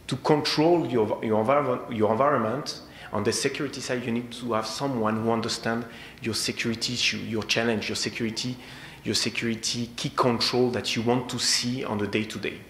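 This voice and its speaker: A man with a strong French accent giving a conference presentation, halting, with many fillers and repeated words. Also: mid-range voice, a bit bright. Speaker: conference speaker. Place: conference hall. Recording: echoey hall with faint noise.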